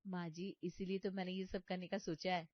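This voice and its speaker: A woman voicing a thrifty, eager-to-please daughter-in-law in a comic story, animated and pleased with herself. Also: on the low side, normal speed, no echo, dull audio, natural voice. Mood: eager, defensive. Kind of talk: comic cartoon story voice acting.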